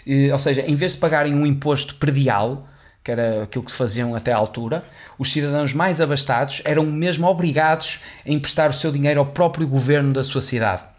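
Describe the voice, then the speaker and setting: A man's voice: mid-range voice, fairly quick. Young Portuguese man explaining, chatty restarts. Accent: European Portuguese. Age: young adult. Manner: chatty, many restarts and fillers, gestures while talking. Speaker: host of an educational video series. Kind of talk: casual explainer monologue on finance history. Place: small studio room with dark wall, indoors. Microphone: studio condenser mic on boom arm, headphones on.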